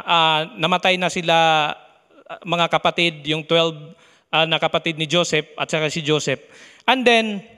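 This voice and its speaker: A man with a Filipino accent and Tagalog as his first language, preaching at a church with a halting, slightly nervous, reverent manner, recorded in a reverberant hall. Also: fairly high pitch, bright, forceful tone, clean tone. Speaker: church preacher, first-time guest speaker. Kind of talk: bilingual sermon with prayer and scripture reading.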